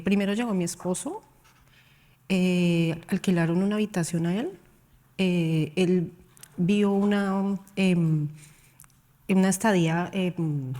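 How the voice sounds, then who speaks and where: A woman from Colombia, a panel guest at a conference, giving a personal account with hesitant pauses and fillers. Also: fairly low pitch, mellow. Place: conference hall.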